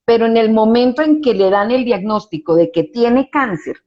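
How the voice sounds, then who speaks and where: A woman's voice: fairly high pitch, expressive, moderate pace, muffled recording. A woman psychologist, explaining her view in an interview, often restarting phrases and adding tag questions for agreement. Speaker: psychologist guest.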